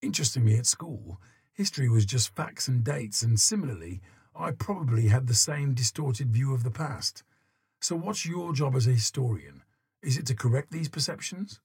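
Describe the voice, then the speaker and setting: Very husky guy, mid pitch, reading an audiobook like he's chatting. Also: animated, normal speed, a little mellow. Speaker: audiobook reader in interviewer part.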